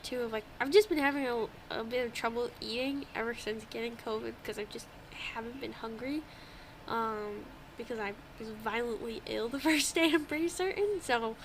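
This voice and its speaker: A woman's voice: high voice, fairly bright tone, slight background noise. A young woman with a congested, stuffy nose, chatting casually as a podcast co-host, swearing freely and laughing often. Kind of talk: casual chat. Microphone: old home microphone.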